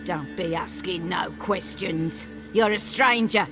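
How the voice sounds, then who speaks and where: A woman's voice: lowish voice, takes it slowly, over music. A woman playing a protective, suspicious old village granny in a fantasy video game, muttering and scolding in rustic dialect. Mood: scolding, wary. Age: older. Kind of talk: video game character acting.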